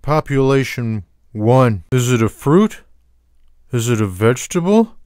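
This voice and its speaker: A man voicing a lazy, sarcastic, food-loving cartoon cat in a video game, delivering dry, deadpan one-liners without any hurry. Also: medium pitch, smooth, no echo. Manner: deadpan, dry, unhurried wisecracking. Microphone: close studio microphone, dry sound.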